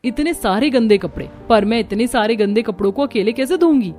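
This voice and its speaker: A dutiful, overworked housewife from a cartoon family story, voiced by a woman. She is meek and polite, with a high, plaintive way of speaking. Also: lively intonation, a little fast, dark tone, soft background music, lightly acted.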